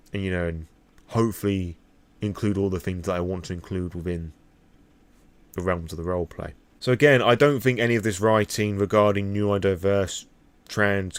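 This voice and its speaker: Low-pitched British man, candid and sweary. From Britain. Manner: candid, reflective, hesitant, casually sweary. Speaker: vlogger. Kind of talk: impromptu personal talk to the audience.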